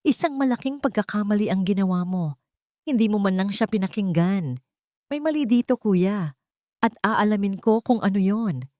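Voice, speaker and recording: Woman voicing calm, insistent protective young man. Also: medium pitch, moderate pace, no echo, clean studio sound, lightly acted. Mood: firm, insistent. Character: concerned, firm, protective young wealthy man.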